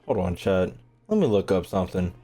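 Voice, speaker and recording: A man's voice: sits low, sing-song, brisk, dark tone. A man chatting casually to his livestream audience while gaming, using slang and swearing freely. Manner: slangy, swearing, talking to chat. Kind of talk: casual gaming stream chat. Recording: game music behind the voice. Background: video game music and sounds.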